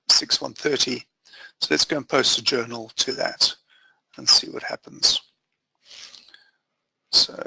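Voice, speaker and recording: A man with a bright, forceful voice and a gravelly edge leads a software walkthrough. He explains each step methodically in short phrases, like a trainer on a screen-share. The sound is close and dry. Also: medium pitch, dry recording, low-quality recording. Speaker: software trainer presenting a webinar demo.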